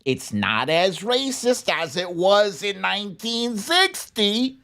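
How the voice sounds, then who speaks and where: Slow, blunt American political commentator. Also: medium pitch, big pitch swings, pushed and bright. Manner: blunt, indignant, emphatic. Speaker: host of a political commentary show. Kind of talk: opinionated political commentary, unscripted.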